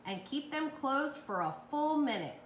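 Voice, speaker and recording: A woman's voice: high-pitched, big pitch swings, measured pace, voice pushed up. A woman voicing a firm, wary male dentist from a children's storybook, matter-of-fact and emphatic. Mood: businesslike. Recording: roomy, echoey with background noise.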